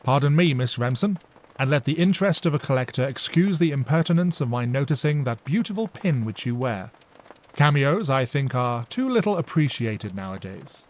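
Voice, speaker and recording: A man voicing a well-educated gentleman detective who speaks with polished, formal courtesy. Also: medium pitch, expressive, moderate pace, natural voice. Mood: courteous.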